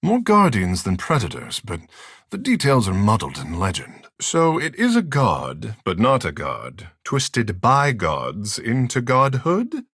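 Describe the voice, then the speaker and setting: An actor voicing a vain, flirtatious sea-folk water shaper in a video game, with theatrical, languid, florid delivery. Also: male voice, lowish voice, wildly swooping pitch, measured pace, fairly bright tone, breathy edge, dry recording, studio quality, heavily acted. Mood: musing. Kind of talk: video game character dialogue.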